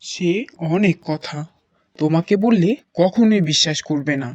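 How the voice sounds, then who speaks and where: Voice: mid-range voice, normal speed, no echo, dull audio, lightly acted. An actor voicing a stern cartoon father. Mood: grave. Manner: stern, then hushed storytelling. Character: strict father haunted by a village ghost story. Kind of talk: cartoon voice acting.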